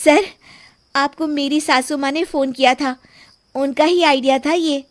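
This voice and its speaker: A brave young daughter-in-law in a cartoon, voiced by a woman, with a very high voice. She is caring and respectful, and speaks to reassure. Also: fairly even intonation, measured pace. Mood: reassuring.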